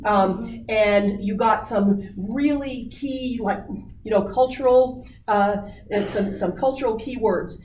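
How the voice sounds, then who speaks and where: A woman's voice: on the high side, takes it slowly, a little mellow, slight background noise. Woman coaching writers in a roomy meeting space. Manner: encouraging, coaching, many fillers. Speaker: workshop presenter. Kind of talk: writing workshop talk. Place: meeting room. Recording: roomy distant audience recording.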